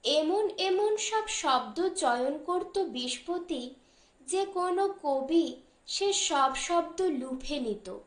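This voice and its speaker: A humane, mild older brother-in-law in an audiobook story, played by a woman narrator. He reasons gently and takes his time over the words. The recording has a noticeable room echo. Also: high voice, animated, drawn-out words, heavily acted. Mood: fond.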